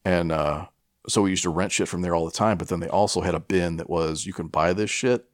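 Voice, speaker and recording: A middle-aged American man chatting casually as a podcast co-host, telling stories in a rambling, halting, profane way. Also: deep voice, fairly even intonation, dry recording, studio quality. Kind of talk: casual chat, rambling storytelling.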